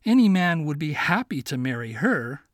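A man's voice: a little high, big pitch swings, normal speed, dry recording, clean studio sound. A man voicing a poor, lonely young lad.

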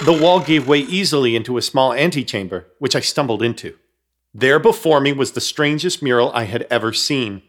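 A man's voice: mid-range voice, slight background noise. A man narrating a horror comedy radio play in the first person, wry and storytelling.

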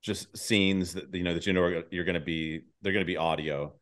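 Very low-voiced man hosting casually. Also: fairly even intonation, a little fast, a bit bright. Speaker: podcast host. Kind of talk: casual interview.

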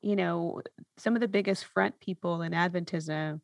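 A woman's voice: lowish voice, normal speed, vocal fry, no echo. A woman hosting a podcast, talking casually and reflectively, thinking through her points with lists and examples. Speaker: podcast host.

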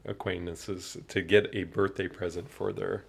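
A man in his thirties chatting on a podcast in a lowish, gravelly voice. He rambles in an easygoing way and chuckles often. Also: little pitch movement, measured pace. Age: 30s. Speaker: podcast co-host. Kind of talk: casual chat.

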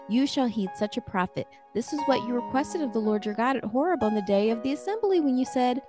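A woman with an American accent, speaking as a podcast co-host in an informal, wry way. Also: a little high. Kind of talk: casual conversation and scripture reading.

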